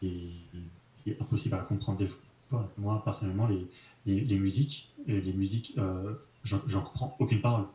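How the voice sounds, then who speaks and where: A man's voice: lowish voice, fairly even intonation, brisk, dark tone, echoey room, low-quality recording. A man, an entrepreneur speaking as the guest in a casual interview, frank and colloquial with many fillers.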